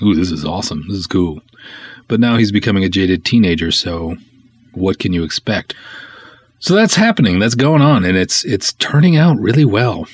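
A man's voice: low-pitched, slightly rough. A middle-aged man hosting a solo podcast, giving a chatty, conversational update and repeating words for emphasis. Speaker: podcast host and independent filmmaker.

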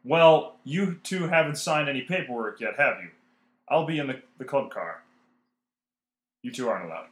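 A man's voice: medium pitch, expressive, moderate pace, long pauses, natural voice. A man playing a hard-nosed, condescending showbiz agent in a radio play. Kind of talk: old-time radio play acting.

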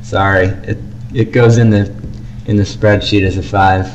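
A man co-hosting a podcast, giving casual, measured reviews in a conversational, hedging way. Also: lowish voice, flat delivery, muffled recording, loud music behind the voice. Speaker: podcast co-host.

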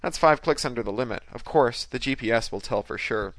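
A man's voice: medium pitch, brisk, fairly bright tone, no echo, lightly acted. A man as a meek, defensive shaken driver. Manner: hesitant, apologetic.

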